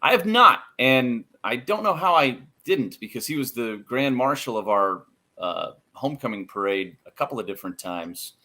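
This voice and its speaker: Bright-voiced American man telling stories. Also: mid-range voice, animated, normal speed. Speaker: interview guest, radio play-by-play announcer. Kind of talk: casual, anecdotal podcast chat.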